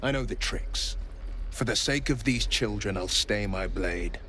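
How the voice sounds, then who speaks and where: An actor playing a proud, vengeful monster hunter with a grandiose, theatrical delivery in a video game. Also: male voice, lowish voice, measured pace, sharp tone, slight background noise. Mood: menacing, resolute. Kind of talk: video game character acting.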